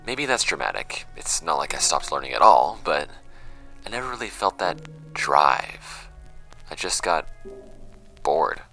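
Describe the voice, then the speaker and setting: A man's voice: rumbling low, very animated, sharp tone, soft background music, full character voice. A man acting a troubled young man in an audio drama, giving a hesitant, introspective confessional monologue. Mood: wry, reflective. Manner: hesitant, introspective, halting phrasing. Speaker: audio drama actor in the lead role.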